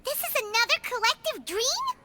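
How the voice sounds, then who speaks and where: A woman voicing a small, childlike, excitable fairy companion in a video game, squeaky and full of curious exclamations. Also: moderate pace, heavy background noise. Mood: curious, puzzled. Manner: exclamatory, curious, speaks of self in third person.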